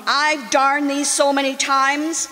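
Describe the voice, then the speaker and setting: A woman's voice: high-pitched, level tone, takes it slowly, pushed and bright, exaggerated voice. A woman playing a fond, teasing housewife in old-time radio comedy, wry and exclamatory. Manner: wry, exclamatory, scolding affectionately. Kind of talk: 1940s radio comedy acting.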